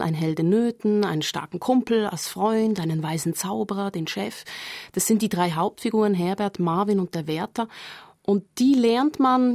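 Woman radio critic, mid pitch, dry studio, articulate explaining in short stacked phrases. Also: a little mellow, dry recording. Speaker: radio critic discussing comics. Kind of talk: studio magazine discussion, explanatory.

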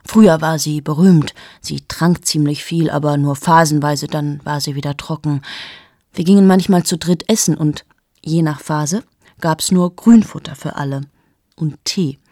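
An actress in her thirties playing a cynical, sharp-tongued journalist in a radio play monologue, speaking in sardonic, confiding asides to the listener. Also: deep voice, dry recording, clean studio sound. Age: 30s. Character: cynical 38-year-old woman journalist, sharp-tongued, mocking.

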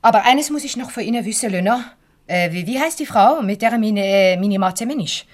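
An actress playing a jealous, indignant boss's wife with a French accent, imperious and halting for words in a radio comedy. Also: female voice, medium pitch, animated, close to an everyday voice. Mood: accusing. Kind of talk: Swiss comedy radio play acting.

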